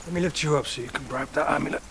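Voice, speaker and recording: An actor playing a bossy, bantering male adventurer in a video game, brisk and teasing. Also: a little high, over music. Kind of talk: video game character acting. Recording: clear background noise.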